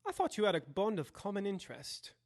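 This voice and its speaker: Man playing echoey, expressive Victorian gentleman lawyer. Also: sits high, fast talker, heavily acted. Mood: probing, polite. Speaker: audio drama actor. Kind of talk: audio drama acting. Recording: echoey, long reverb.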